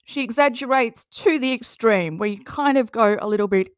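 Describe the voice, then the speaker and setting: A woman's voice: on the high side, brisk, smooth, dry recording, studio quality. A woman with an Australian accent giving casual, sarcastic commentary and reading posts aloud in a blunt, mocking way. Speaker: commentary video host.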